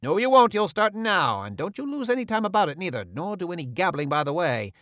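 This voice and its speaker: A man's voice: high-pitched, very animated, brisk, a bit bright, dry recording, clean studio sound, voice pushed up. A man voicing a scheming middle-aged male swindler who grumbles, threatens and gives orders. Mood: commanding.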